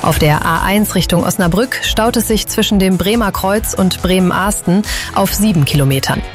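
Woman, traffic and weather reports, clear, friendly, faint music. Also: mid-range voice, moderate pace.

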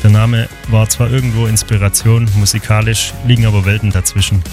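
A man from southern Germany hosts a country radio show, talking between songs in an earnest and personal way. His voice sits a little low, and music plays clearly under him the whole time. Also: flat delivery, a little fast. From Hohenlohe, Baden-Württemberg, Germany. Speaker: radio host. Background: music bed.

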